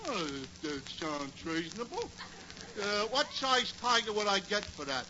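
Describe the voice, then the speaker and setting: An actor playing a slow-witted, earnest, halting New York tavern regular in a 1940s radio comedy. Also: male voice, high-pitched, sing-song. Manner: dopey, halting, ungrammatical. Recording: noisy muffled 1940s radio recording.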